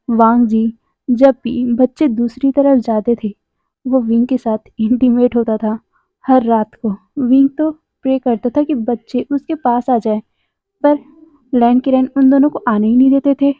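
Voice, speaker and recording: A woman with a slightly high voice, hoarse from a sore throat, retells a story in a loose, chatty way and keeps breaking off to talk straight to her viewers. The recording is tinny and phone-like, and very dry, with no room sound at all. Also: dry recording. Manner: chatty, addresses viewers directly, self-correcting asides. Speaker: YouTuber retelling fan fiction.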